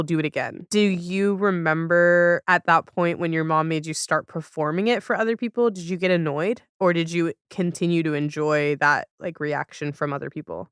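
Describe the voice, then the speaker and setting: A woman with a smooth, clear voice hosts a relaxed conversational interview. She reflects aloud and rephrases as she goes. The recording is dry, with hardly any room sound. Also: on the low side, no room sound. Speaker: podcast host.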